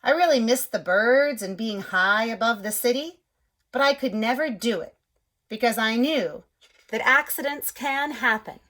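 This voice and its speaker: Timid storybook egg, gentle and fairly high, hushed when scared; a woman reads him in her own voice. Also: a little slow. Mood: wistful. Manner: soft storytelling, whispers at scared moments. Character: young male egg, timid but hopeful.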